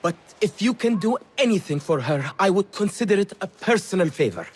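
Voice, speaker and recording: An actor playing a secretive, politely chatty informant with refined manners in a video game cutscene. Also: male voice, sits high, animated, a little fast, a little hiss or hum. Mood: conspiratorial, courteous. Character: genteel, secretive, playfully excited male informant.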